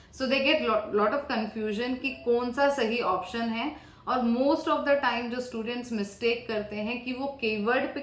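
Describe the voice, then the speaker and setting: A woman with an Indian English accent coaching students for an exam, switching between English and Hindi, fast in Hindi and slow and deliberate in English. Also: a little high, fairly bright tone. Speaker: IELTS coach. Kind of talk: tutorial to camera. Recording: echoey room.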